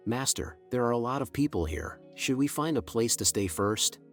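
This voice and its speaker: A man voices a cautious servant who speaks deferentially to his master. The voice is medium in pitch, over music in a comic-story dub. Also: lively intonation, normal speed, natural voice. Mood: dutiful. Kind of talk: dubbed comic-recap character dialogue.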